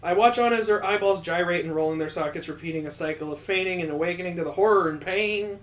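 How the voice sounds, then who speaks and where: A man's voice: high voice, quick pace, a bit bright, a little hiss or hum. A man reading as a grim, vengeful killer, echoey. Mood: coldly cruel. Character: vengeful, coldly cruel young killer narrating a visual novel. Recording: roomy, echoing sound.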